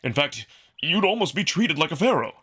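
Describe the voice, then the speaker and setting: A man voicing a proud, earnest wolf alien in a visual novel drama, formal and emphatic, quick to defend himself. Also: a little high, sing-song, a bit bright, slightly rough, heavily acted. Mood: earnest, reassuring.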